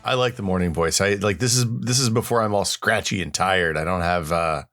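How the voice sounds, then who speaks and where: A middle-aged man with a lowish voice co-hosts a podcast. He rambles along, restarts his sentences often and slips in goofy asides. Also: brisk, fairly bright tone, faint music behind. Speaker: podcast co-host. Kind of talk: casual chat.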